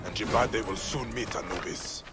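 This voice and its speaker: A grim Egyptian warrior from a video game, played by a male actor, with a deep, low voice. He speaks solemnly and deliberately, a vengeful protector with a kind side. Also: loud music behind the voice, lightly acted. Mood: determined. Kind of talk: video game cutscene acting.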